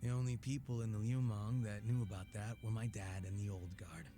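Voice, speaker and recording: An actor playing a cocky, laid-back former gang boss in a video game, teasing and sardonic with a languid drawl and casual swearing. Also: male voice, lowish voice, fairly even intonation, a little fast, mellow, soft background music, natural voice. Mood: jaded. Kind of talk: English video game voice acting.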